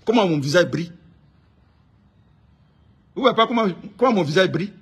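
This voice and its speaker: A man with an Ivorian accent speaks in a high-pitched, raspy-edged voice. He rants informally about his views in an indignant, emphatic way, often hammering a phrase by repeating it. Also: lively intonation, normal speed. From Ivory Coast. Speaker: online political commentator. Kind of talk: informal political rant to camera.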